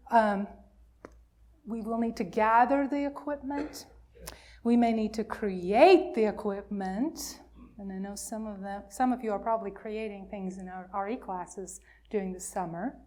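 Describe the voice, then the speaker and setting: A woman with a fairly high voice speaks at a very slow pace, as a minister giving a storytelling message for all ages. She leaves pauses and asks her listeners questions as she goes. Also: dark tone. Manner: questioning, pausing, inviting listeners to answer.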